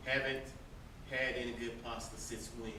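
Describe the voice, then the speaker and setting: A man's voice: medium pitch, little pitch movement, moderate pace, pushed and bright. A man acting on stage as a sullen, volatile, grieving younger brother with a terse, defensive manner. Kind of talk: amateur stage play acting. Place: indoor stage, recorded from afar. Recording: echoey stage, distant noisy camera microphone.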